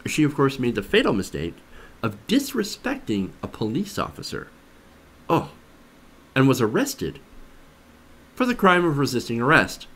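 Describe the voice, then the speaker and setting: A man's voice: mid-range voice, huge pitch swings, normal speed, long pauses, fairly bright tone. A man giving conversational news commentary as a host, skeptical and emphatic, often posing rhetorical questions. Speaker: host of a political commentary show.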